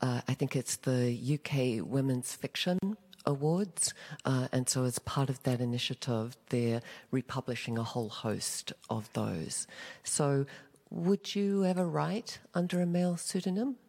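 A woman interviewer asking measured questions, often checking in with "right?" and pausing on fillers. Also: very deep voice, darkish tone, long reverb tail. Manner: measured, prompting, with hesitant fillers.

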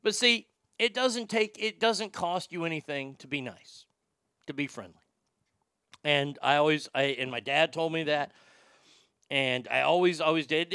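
A man's voice: mid-range voice, sharp tone, clean tone, dry recording, clean studio sound. A man in his fifties from Texas, a radio host telling profane, rambling stories in a casual monologue. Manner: profane, rambling storyteller with many restarts.

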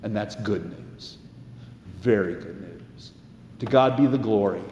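A male pastor delivering a sermon in an earnest, emphatic way, with deliberate pauses and repeated phrases. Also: lowish voice, warm tone, dull audio, a little hiss or hum. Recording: echoey, reverberant church sound.

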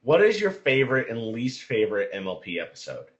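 Animated man hosting a casual interview. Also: mid-range voice, brisk. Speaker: podcast host and interviewer. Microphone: online voice chat. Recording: muffled lo-fi internet voice call.

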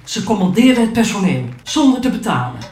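Actress playing aggrieved woman, lively intonation, radio drama, roomy echo. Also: medium pitch, a little fast, close to an everyday voice. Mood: indignant. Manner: indignant. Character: indignant complainer about freeloading hotel guests. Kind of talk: Dutch radio drama acting. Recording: echoey room, faint noise.

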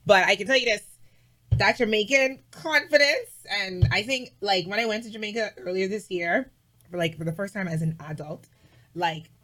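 A woman vlogger chatting casually as an interview guest, emphatic and animated, with lots of "like". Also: medium pitch, moderate pace. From Bahamas.

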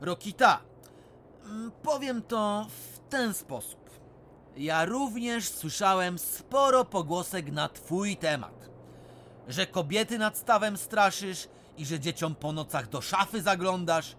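Cheeky miner, high voice, slow and sly, radio play. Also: male voice, slight background noise, heavily acted. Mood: teasing. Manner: crafty, teasing, calm. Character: clever cheeky Silesian miner Bulandra, adult man. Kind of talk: radio drama acting.

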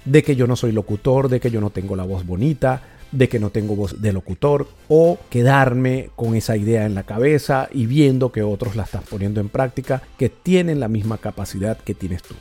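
A man hosting a podcast episode, giving measured, motivational advice on communication as a trainer. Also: medium pitch, dark tone, slightly rough, faint music behind. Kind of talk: instructional podcast monologue.

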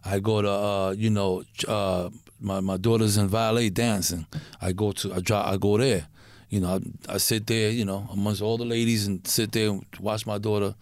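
A middle-aged man from Puerto Rico, a guest in a casual interview, who talks in a halting way, repeating words and using street slang. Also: lowish voice, little pitch movement, dry recording, clean studio sound.

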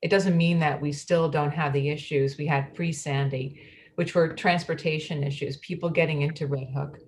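A woman's voice: low-pitched, steady tone, moderate pace, a little mellow, a little hiss or hum, close to an everyday voice. An actor playing a frustrated female neighborhood resident in a readers theatre monologue, plainspoken and aggrieved.

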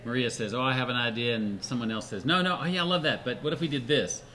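Guy hosting a chatty podcast, tells stories with lots of energy, middle pitch. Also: quick pace. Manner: animated storyteller, laughs often, acts out others' lines. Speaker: editor-in-chief and host. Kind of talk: casual podcast chat.

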